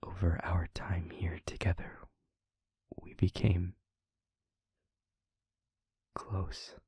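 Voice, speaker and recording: A low-voiced, weary male demon, acted by a man in an intimate audio roleplay. He confides in a breathy half-whisper, slowly and haltingly, with earnest care. The sound is close and dry. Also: flat delivery, warm tone, no room sound, exaggerated voice. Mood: tender, careful. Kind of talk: scripted audio roleplay, intimate monologue to listener. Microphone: close microphone, dry.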